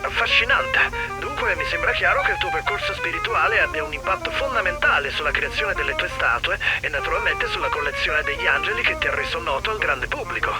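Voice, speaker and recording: A man acts out a polished male talk show host with a high, very lively voice. He presents formally and effusively in an upbeat scripted TV style, with music playing behind him. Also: brisk, hardly any pauses, close to an everyday voice, rough recording. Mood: polite, interested. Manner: formal, effusive, theatrical announcer delivery.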